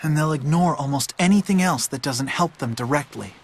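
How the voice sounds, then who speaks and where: A man's voice: fairly high pitch, a little fast, breathy edge. A man voicing a streetwise, cynical boy in a video game, blunt and matter-of-fact, like a bossy older friend. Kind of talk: video game cutscene voice acting.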